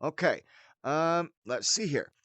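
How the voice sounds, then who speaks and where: Male tutorial host, high voice with big swoops, showy and mock-formal yet folksy, recorded dry. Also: expressive, slow, fairly bright tone, no echo. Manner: theatrical, mock-formal wording mixed with folksy slang. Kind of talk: casual spoken game tutorial with showy greeting.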